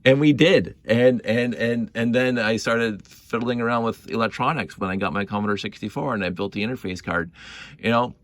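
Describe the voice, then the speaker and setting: A middle-aged man telling nostalgic stories casually, rambling and halting, repeating words and often given to chuckling. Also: medium pitch, no echo. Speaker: hobby video creator. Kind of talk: casual rambling storytelling to camera.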